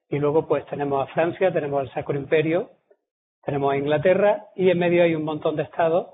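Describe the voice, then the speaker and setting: A man with a southern Spanish accent from Córdoba giving a history lecture at an academy, talking conversationally with fillers and restarts. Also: on the high side. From Córdoba, Spain. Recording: tinny lo-fi audience recording with faint noise.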